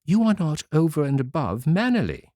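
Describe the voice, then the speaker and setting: A man voicing a calm, stern fairy who passes judgment without raising her temper. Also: a little high, no room sound, studio quality, voice pushed up. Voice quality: composed, measured. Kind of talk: storybook reading.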